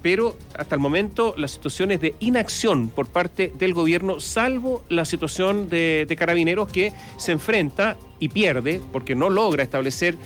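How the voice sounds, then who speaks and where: A man anchors live news in a measured, serious tone, his voice sitting a little high. Faint music runs underneath. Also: animated, fairly bright tone.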